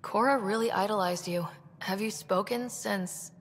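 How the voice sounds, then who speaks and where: A woman's voice: medium pitch, moderate pace, a little hiss or hum, natural voice. An actress playing a young, composed and earnest explorer who leads her team in a video game.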